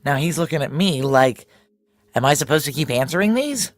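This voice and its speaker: A woman's voice: deep voice, lively intonation, fairly quick, dry recording. A hard-boiled woman security chief narrates a noir audio drama from inside her head. She is tense, wry and terse, confiding in a very dry, close recording. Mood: anxious, wry.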